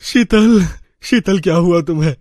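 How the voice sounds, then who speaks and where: Man acting high-voiced, meek poor father. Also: a little slow, darkish tone, no echo. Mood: anguished. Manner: meek, pleading. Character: poor, despairing village husband and father.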